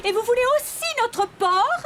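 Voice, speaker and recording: Une femme qui joue une jeune sirène de dessin animé, vive et émotive, au ton appuyé. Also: female voice, extremely high pitch, expressive, normal speed, noisy background. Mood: indignant. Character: excitable, nervous, indignant young female mermaid. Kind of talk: cartoon dub acting. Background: music.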